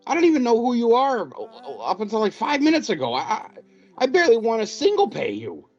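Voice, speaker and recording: A man's voice: very high-pitched, huge pitch swings, fast, a little mellow, highs cut off, faint music behind, heavily acted. A man acting in a comedic audio play as a wheedling, easily exasperated city wrestling promoter who stammers. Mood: baffled.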